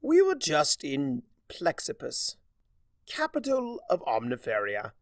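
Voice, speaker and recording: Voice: medium pitch, wildly swooping pitch, slow pace, dry recording, clean studio sound, pitched above the performer's own voice, exaggerated voice. A man voicing a smug, swooping trickster spirit. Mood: smug, showy. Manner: drawling, mocking, grandly explanatory. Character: smug theatrical male trickster spirit lecturing with relish.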